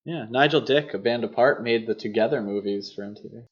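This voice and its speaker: Male podcast host, mid-pitched voice, casual and opinionated film chat, slightly dull lo-fi recording. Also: a little fast.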